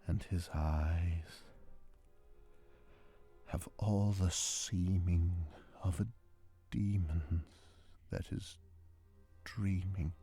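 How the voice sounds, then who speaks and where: A man giving a dramatic poetry reading in a deliberate, theatrical manner. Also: deep voice, steady tone, dark tone, slightly rough. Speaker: amateur reader. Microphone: handheld digital recorder, close and dry. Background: string quartet music.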